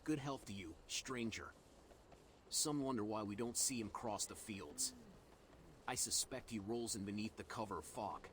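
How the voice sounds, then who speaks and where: A man's voice: lowish voice, moderate pace, a bit bright, a little hiss or hum, lightly acted. A synthetic man's voice playing a solemn, portentous dwarf storyteller giving quests in a fantasy game. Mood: solemn, earnest.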